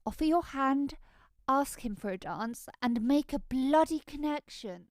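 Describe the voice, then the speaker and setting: Woman acting theatrical young society lady, slightly high voice. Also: expressive, measured pace, dry recording, studio quality. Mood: nervous, self-coaching. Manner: theatrical, archaic prim diction. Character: eager young society lady, haughty and easily disgusted. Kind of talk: audio drama acting.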